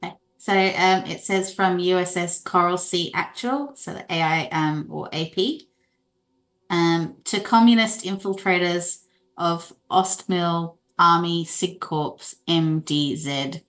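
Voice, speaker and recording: A woman's voice: on the low side, slow, fairly bright tone. A woman chatting casually as a player in a tabletop roleplaying game, saying what her character does.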